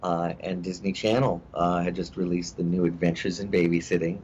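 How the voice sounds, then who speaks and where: Man, middle-aged, low voice, rambling guest full of ums, dull lo-fi recording, faint hiss. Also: little pitch movement, brisk, darkish tone. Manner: rambling, many fillers and restarts. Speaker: actor guest. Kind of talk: casual interview chat.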